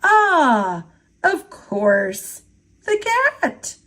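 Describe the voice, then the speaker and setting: A woman's voice: a little high, sing-song, drawn-out words, a bit bright, full character voice. Woman as a cheerful, easygoing storybook countryman. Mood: sleepy. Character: good-natured, hard-working Irish storybook man, credulous and cheerful.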